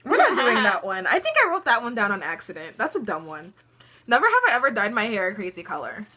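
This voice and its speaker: A woman's voice: mid-range voice, big pitch swings, fast, sharp tone. A teenage girl vlogger telling funny stories in casual chat, laughing often and dropping lots of ums.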